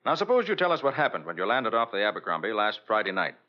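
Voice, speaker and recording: Lively, confident old radio detective. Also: male voice, lowish voice. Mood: assured. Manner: assured, brisk, wry. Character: confident, quick-witted male private detective. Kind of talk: 1940s radio detective drama acting. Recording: dull old radio recording.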